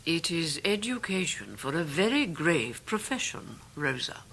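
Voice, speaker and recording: A woman's voice: low voice, big pitch swings, unhurried, fairly bright tone, a little hiss or hum, exaggerated voice. An actress playing a proud, stately elderly upper-class English lady and doting mother in a radio drama, formal and imperious. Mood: proud, firm. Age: older. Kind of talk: 1990s radio drama acting.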